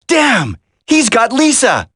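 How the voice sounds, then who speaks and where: An urgent young hero from a video game, voiced by a woman. The voice is high and swings widely in pitch as it cries out in alarm. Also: huge pitch swings, slow, slightly rough, full character voice. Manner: shouting, urgent.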